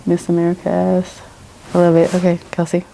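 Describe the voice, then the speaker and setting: A woman's voice: on the low side, completely flat delivery, a little fast, creaky, dark tone, slight background noise, low-quality recording. A woman in her thirties hosting a podcast panel, chatty and teasing. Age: 30s. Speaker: podcast host. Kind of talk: casual panel discussion.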